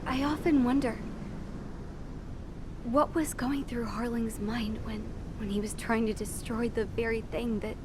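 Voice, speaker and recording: A woman playing an earnest, troubled young princess who speaks formally and haltingly in a video game drama. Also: fairly even intonation, close to an everyday voice. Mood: pensive, troubled. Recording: loud engine rumble behind. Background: rumbling vehicle noise.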